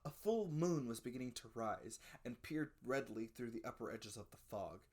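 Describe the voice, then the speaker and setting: A narrator giving a dramatic scripted reading of a classic adventure story, with expressive, theatrical emphasis. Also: mid-range voice, normal speed. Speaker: audiobook narrator.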